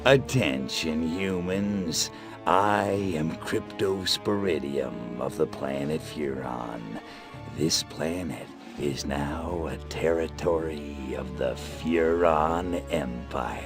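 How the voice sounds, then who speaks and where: Game villain: imperious, mocking alien invader, low and unhurried. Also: male voice. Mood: imperious, menacing. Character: arrogant, sardonic little alien invader from planet Furon. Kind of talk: video game cutscene acting. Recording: music behind the voice.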